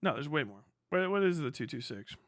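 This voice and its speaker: Animated, bright-voiced man ranting with heavy swearing. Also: a little high, a little fast, no room sound, studio quality. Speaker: livestream host reacting to videos. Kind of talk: casual profane livestream commentary.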